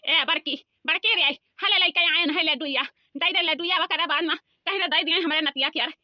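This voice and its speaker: A woman plays an elderly cartoon grandmother from a village, timid and plaintive, with an Awadhi accent. The voice sits high, and the recording is dry and slightly dull. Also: normal speed, no room sound. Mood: anxious, pleading. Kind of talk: village cartoon comedy dialogue. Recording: dull lo-fi close dry sound.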